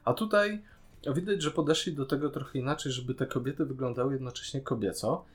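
A man co-hosting a podcast, giving a casual, enthusiastic series review with hesitant pauses. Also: medium pitch. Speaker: podcast co-host.

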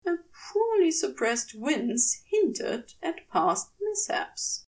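A woman narrates fiction in a fairly high, very animated voice. She takes her time over each phrase in a scripted storytelling style. The microphone sounds a little distant. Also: slow. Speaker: fan fiction podfic narrator.

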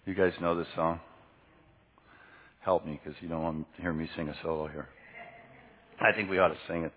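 A man's voice: low-pitched, fairly even intonation, normal speed. A man preaching a sermon in a conversational, teacherly way, putting questions to his congregation and answering them himself. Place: large church hall, preaching to a congregation. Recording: echoey hall, dull lo-fi sound.

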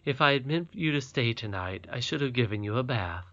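Guy voicing a wise old storybook great-great-granny, calm and gentle, muffled lo-fi sound. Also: medium pitch, fairly quick. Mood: tender. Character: ancient kindly great-great-grandmother, calm and wise.

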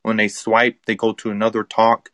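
A man's voice: fairly low pitch, completely flat delivery, moderate pace, a bit bright, dry recording. A man in his twenties hosting a casual chat on a live audio app, explaining things in an easygoing, rambling way. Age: 20s. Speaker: host.

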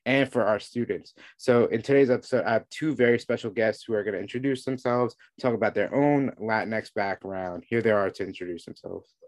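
Low-voiced New man hosting a podcast. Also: brisk, hardly any pauses, dry recording. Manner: frequently tags statements with "right?". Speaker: podcast host, teacher.

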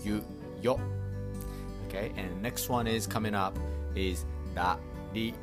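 A man hosts a language-lesson podcast, his voice sitting low and his pace unhurried. He says each word several times for learners and checks in with a frequent "okay?", while faint music plays underneath. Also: steady tone. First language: Japanese. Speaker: podcast host teaching Japanese.

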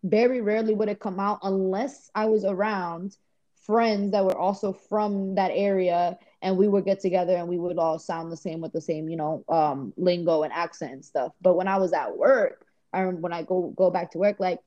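A woman's voice: lowish voice, fairly even intonation, moderate pace, mellow. Chatty woman guest, lo-fi sound. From Rhode Island, US. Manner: chatty, many "like" fillers, laughs while telling stories. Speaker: podcast guest. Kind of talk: casual chat.

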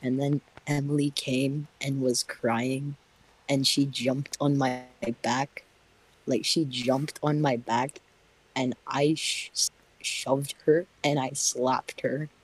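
Husky-voiced teen boy, kinda hesitant, telling an awkward story. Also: mid-range voice, little pitch movement, slow pace, poor audio. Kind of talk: casual storytelling.

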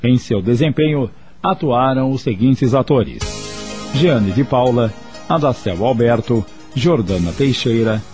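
A man's voice: medium pitch, lively intonation, measured pace, darkish tone, soft background music. A man with a Brazilian accent reading scripted radio credits as a formal announcer, enunciating each item.

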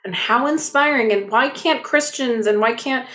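A woman talking as a podcast guest, telling stories in an animated, expressive way with lots of fillers. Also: fairly high pitch, moderate pace. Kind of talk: casual conversation.

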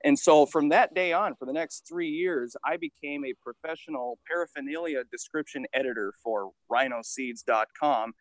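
A man around thirty, a podcast guest telling stories in an animated, casual way with the odd swear. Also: a little high, moderate pace, few pauses, no echo, rough recording. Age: 30s. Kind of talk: casual interview storytelling.

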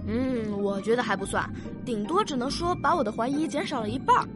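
A woman playing a bright, curious child on a spaceship in a sci-fi audio drama, asking questions precisely and excitably. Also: on the high side, fairly quick, lightly acted. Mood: skeptical. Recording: loud music bed behind voice.

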